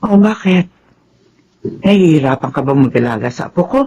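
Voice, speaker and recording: A woman's voice: low-pitched, expressive, normal speed, dark tone, a little hiss or hum. Dull-sounding recording, firm older Filipino mother. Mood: chiding. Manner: motherly, admonishing, earnest. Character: caring older mother and grandmother, firm, reassuring. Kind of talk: Filipino radio drama acting. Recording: dull, lo-fi radio audio.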